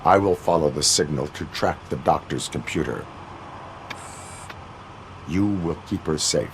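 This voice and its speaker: An actor playing a stoic, dutiful cyborg police officer in a video game, speaking in formal, clipped, deadpan sentences. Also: male voice, rumbling low, unhurried, slight background noise.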